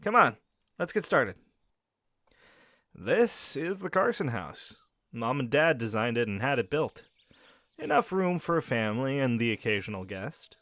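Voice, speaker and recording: A man playing a gentle young werewolf, lively voice. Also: medium pitch, measured pace, pauses often, no echo, clean studio sound. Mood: friendly. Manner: gentle, reassuring, speaks directly to the listener. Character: young male werewolf, friendly and caring pack beta. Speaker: audio roleplay character. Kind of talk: scripted audio roleplay addressing the listener.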